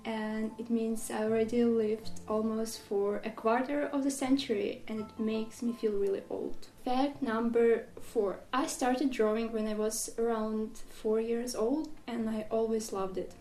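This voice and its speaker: A woman in her twenties from Ukraine with a Russian accent, speaking English as a second language in a casual vlog about herself, unhurried and plain, with slightly non-native phrasing. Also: fairly high pitch, little pitch movement, dark tone, roomy sound. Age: 20s. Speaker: artist vlogger. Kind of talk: casual facts-about-me talk.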